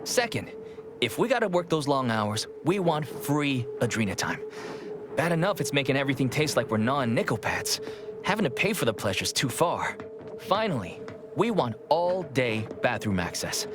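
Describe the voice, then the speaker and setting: An actor voicing a plain-spoken, weary factory worker in a video game, earnest, folksy and halting. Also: male voice, medium pitch, sing-song, music in the background, lightly acted. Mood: earnest, aggrieved.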